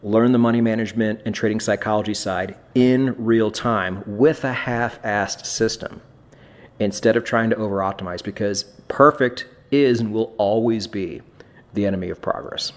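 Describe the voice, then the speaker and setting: A man's voice: fairly low pitch, moderate pace. A man hosting a trading podcast, talking in a blunt, emphatic way with casual swearing. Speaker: podcast host.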